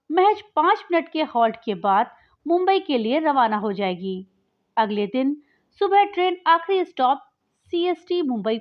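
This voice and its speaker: A woman reads a short story as its narrator, in a high voice. Her intonation swings widely as she dramatizes the telling. The recording is a little dull and lo-fi. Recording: dull, lo-fi sound.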